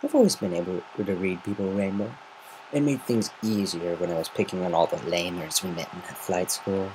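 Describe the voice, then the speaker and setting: A man's voice: sits low, fairly quick, darkish tone, slight background noise, natural voice, rough recording. Man as a brash, blunt female griffin. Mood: sighing, knowing. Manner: blunt, needling.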